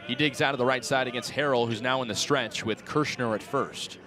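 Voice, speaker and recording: A man in his twenties calling baseball play-by-play for radio in crisp, clipped phrases that lift on the action. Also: medium pitch, steady tone, brisk, background noise. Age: 20s. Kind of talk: live radio sports commentary. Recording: outdoor ballpark crowd behind voice. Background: ballpark crowd.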